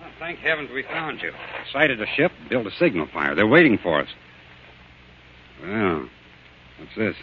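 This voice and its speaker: An actor playing a calm, observant, quietly forgiving doctor in 1940s radio drama style. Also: male voice, fairly low pitch, normal speed, pauses often, lightly acted. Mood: brisk, concerned. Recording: muffled, noisy old radio recording.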